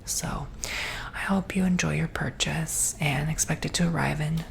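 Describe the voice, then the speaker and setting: A woman playing an easygoing, reassuring salesperson in an ASMR roleplay, soft-spoken and partly whispering in an informal, rambling way. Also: low voice, steady tone, normal speed, vocal fry, a little hiss or hum. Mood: gently cautioning, reassuring. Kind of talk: ASMR roleplay, improvised customer-service chat.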